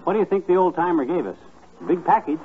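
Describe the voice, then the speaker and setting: An actor playing a middle-aged, blustery, fibbing husband in a 1950s radio comedy, talking in a folksy, colloquial manner. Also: male voice, sits high, expressive, quick pace, loud music behind the voice. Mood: grumbling. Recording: muffled, narrow-band 1950s radio recording.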